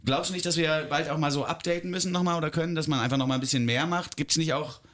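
Brief: male host, relaxed, joking, casual chat. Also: fairly high pitch, fast. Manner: relaxed, joking, easy laugher. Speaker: podcast host.

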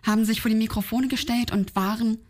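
A woman with a somewhat high voice talks about the audiobook she directed. She explains with enthusiasm and energy. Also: fairly even intonation, fast. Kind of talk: interview chat.

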